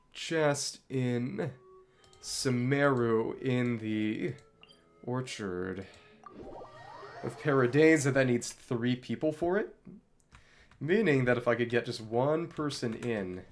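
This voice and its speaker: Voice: medium pitch, expressive, takes it slowly, pauses often, a little hiss or hum. A game streamer chatting casually over gameplay, thinking aloud in a wry way. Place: indoors at a computer.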